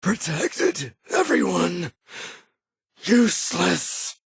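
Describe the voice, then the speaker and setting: An actor voicing a tormented, paranoid figure in a video game, raving in broken fragments with a raspy, creaky voice. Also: medium pitch, big pitch swings, very slow, no echo, clean studio sound, exaggerated voice. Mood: frantic, anguished. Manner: broken, muttered fragments bursting into cries. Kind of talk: video game character dialogue.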